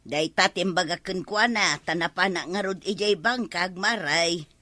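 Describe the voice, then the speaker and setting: A woman's voice: lowish voice, normal speed, bright, forceful tone, no room sound. A woman with an Ilocano accent acting a practical, nagging village wife in a comedy drama. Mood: concerned.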